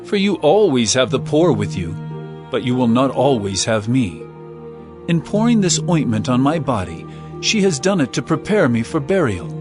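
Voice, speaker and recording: Guy acting solemn caring male teacher in a bible drama, very expressive pitch, music behind him. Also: normal speed, close to an everyday voice. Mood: gentle reproof.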